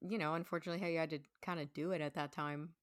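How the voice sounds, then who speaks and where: A woman's voice: low-pitched, steady tone, rapid-fire, no echo, clean studio sound. A woman speaking casually as a guest in a conversation. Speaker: podcast guest, stage performer. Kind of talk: casual chat.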